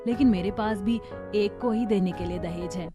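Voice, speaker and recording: A woman voicing a gentle, reasonable middle-aged village mother in a Hindi cartoon story, calm and soothing. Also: medium pitch, moderate pace, music in the background, close to an everyday voice. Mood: concerned.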